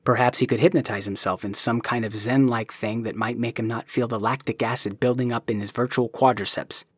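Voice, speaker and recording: A man reading a novel aloud in an even storytelling manner, his voice husky and breathy. The sound is close and dry, with no room around it. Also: mid-range voice, a little fast, dry recording. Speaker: narrator. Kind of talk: audiobook narration.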